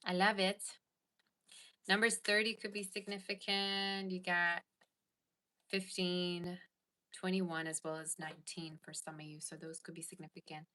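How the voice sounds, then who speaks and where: Bright-voiced chatty tarot reader woman. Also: on the low side, level tone, unhurried. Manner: chatty, reads live chat aloud. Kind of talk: casual tarot reading to viewers.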